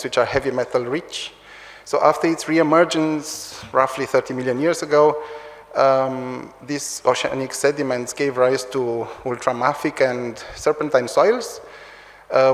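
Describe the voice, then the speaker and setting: Romanian man lecturing in an echoey hall. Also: medium pitch. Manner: hesitant, many ums and restarts. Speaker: conference speaker, researcher. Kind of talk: academic conference talk. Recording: roomy long hall reverb.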